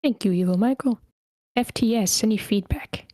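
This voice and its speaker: A woman's voice: on the high side, normal speed, mellow, no room sound. Casual woman host, dry close sound. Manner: informal, self-deprecating, swears casually. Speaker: host of an online screenplay table read. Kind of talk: casual spoken feedback. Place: online group meeting.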